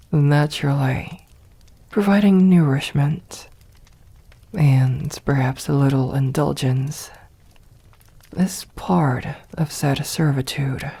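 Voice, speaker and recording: A woman voicing a haughty, seductive, possessive vampire mistress in an ASMR roleplay, speaking softly in formal, condescending phrases, often close to a whisper. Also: low-pitched, heavily acted. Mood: teasing, possessive. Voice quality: breathy, soft, often whispered. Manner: slow, deliberate, condescending, formal old-fashioned diction. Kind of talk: ASMR roleplay, scripted acting.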